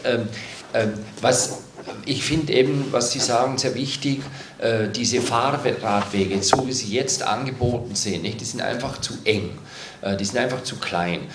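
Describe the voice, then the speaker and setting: A man's voice: lowish voice, a little hiss or hum. A man on a panel speaking in a rambling way, with many fillers and tag questions. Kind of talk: panel discussion. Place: hall at a public event. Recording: roomy hall.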